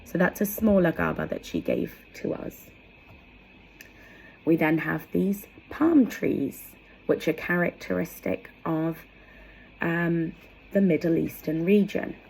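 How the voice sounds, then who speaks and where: Low, mellow woman explaining things. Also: big pitch swings, slow pace, vocal fry, breathy edge, low-quality recording. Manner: explanatory, conversational asides while demonstrating props. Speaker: educator presenting storytelling props. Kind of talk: informal instructional vlog.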